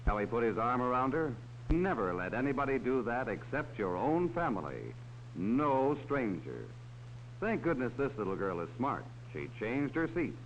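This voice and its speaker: Male narrator for a 1940s kids' safety film, lively and patient, dull hissy sound. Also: mid-range voice, normal speed. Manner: talks down to children. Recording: dull old film soundtrack with faint hiss.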